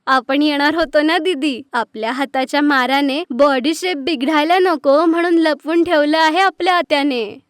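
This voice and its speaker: A woman voicing a cheeky, mischievous little girl who talks back in an animated story. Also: high-pitched, moderate pace, hardly any pauses, dry recording, clean studio sound, close to an everyday voice. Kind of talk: animated moral story dialogue.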